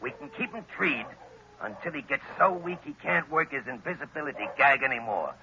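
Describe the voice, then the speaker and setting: A man's voice: fairly high pitch, expressive, fairly quick. An actor playing a cold, commanding male gang boss in a 1940s radio drama. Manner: gruff, commanding. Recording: dull lo-fi old radio recording with hiss.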